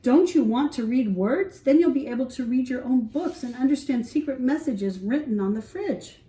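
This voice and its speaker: A woman's voice: sits high, expressive, moderate pace, mellow, voice pushed up. A woman voicing a young boy, a patient big brother in a storybook, coaxing and explaining. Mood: persuasive. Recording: roomy echo, distant from mic.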